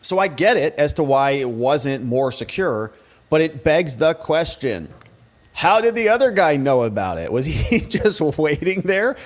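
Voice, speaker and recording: Give a man with a somewhat high-pitched voice, hosting a commentary show. He talks casually and colloquially, with a sarcastic edge as he reacts. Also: sing-song, a little slow. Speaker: host commentator. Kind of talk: casual commentary reacting to press conference clips.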